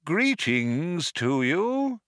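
A man voicing an ancient recorded announcer in a science fiction story, hollow and reedy, courteous with quiet menace. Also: fairly high pitch, big pitch swings, no echo, studio quality, pitched above the performer's own voice, heavily acted. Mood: courteous, menacing. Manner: slow, courteous, almost charming, menacing. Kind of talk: science fiction audiobook character voice.

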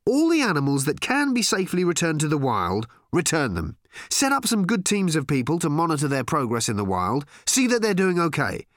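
A man's voice: a little high, very animated, no room sound, pitched above the performer's own voice. Breezy, pushy alien, as a man plays him, commanding, dry close sound. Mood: bossy. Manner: loud, brisk commanding. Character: brash, breezy male alien, bossy and cocky.

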